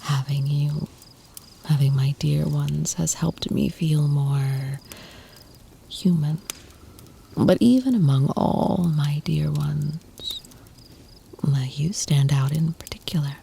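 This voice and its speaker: A woman playing an ancient, tender, protective vampire lover in an ASMR roleplay, speaking in a soft, breathy, soothing whisper close to the mic. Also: low voice, a little hiss or hum, full character voice. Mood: wistful, then tender, confiding. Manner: slow, soothing, intimate murmur with lingering pauses.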